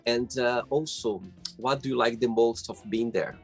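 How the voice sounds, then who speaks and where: Husky-voiced friendly male host. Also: medium pitch, normal speed, faint music behind. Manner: friendly, encouraging, laughs often. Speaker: interview host. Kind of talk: casual interview host.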